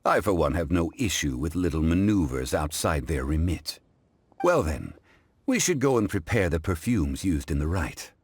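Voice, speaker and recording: An actor playing a composed, erudite gentleman in an anime game dub, speaking formally and courteously, as if giving a measured lecture. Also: low voice, big pitch swings, slight background noise.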